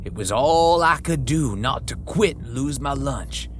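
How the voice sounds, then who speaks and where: A man's voice: medium pitch, expressive, a little slow. Man narrating a gritty western tale. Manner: folksy frontier talk with crude slang. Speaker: audiobook narrator. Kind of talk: first-person western horror storytelling. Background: background music.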